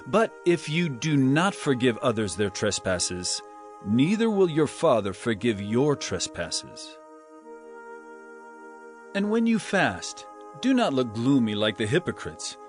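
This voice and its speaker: A man playing an earnest, solemn male teacher with authority in a dramatized scripture reading, speaking in a measured, emphatic way. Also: a little high. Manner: measured, emphatic, rhetorical questioning. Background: music.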